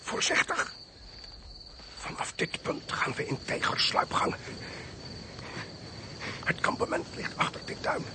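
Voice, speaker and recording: An actor playing a blunt, commanding military intelligence chief in a radio drama, speaking in clipped, authoritative phrases. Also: male voice, slow pace, long pauses, very noisy, lightly acted. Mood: hushed, tense. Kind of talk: Dutch radio drama acting.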